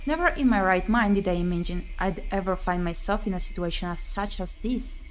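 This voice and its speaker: A woman's voice: medium pitch, brisk, low-quality recording. A woman streamer playing a visual novel, reading the game text aloud expressively with her own commentary. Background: game music.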